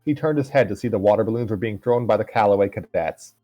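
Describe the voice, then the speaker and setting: A man's voice: on the low side, fast talker, dull audio, faint music behind. A man reading a fan story aloud on a livestream, casually, with stumbles and asides.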